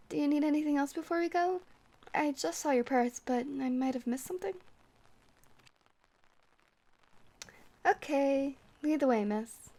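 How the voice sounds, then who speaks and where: A woman acting as a kindly vampire bartender with a fairly high voice. She talks in a gentle, chatty ASMR roleplay way, rambling whimsically as if to one companion. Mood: gentle concern. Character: friendly, rambling young-looking vampire bartender woman, centuries old.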